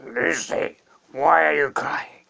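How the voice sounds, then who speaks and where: Man playing a measured, solemn lion king. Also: a little high, fairly even intonation, vocal fry, bright, forceful tone, heavily acted. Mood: gentle, solemn. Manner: measured, slow, deliberate. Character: great male lion king, calm, solemn, authoritative.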